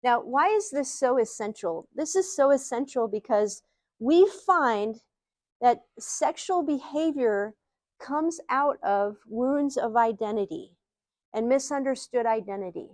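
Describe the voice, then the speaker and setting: Higher-pitched Midwestern American woman, dry sound. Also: lively intonation, unhurried, a little mellow, no room sound. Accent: Ohio Midwestern American. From Ohio, USA. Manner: earnest, uses rhetorical questions. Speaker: speaker, psychologist. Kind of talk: live teaching talk to an audience.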